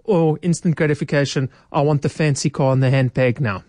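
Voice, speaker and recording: A woman's voice: deep voice, fairly even intonation, fairly quick, dark tone, no echo. A woman, a financial adviser guest in a radio studio, explaining retirement saving step by step in a practical way. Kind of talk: radio studio advice talk.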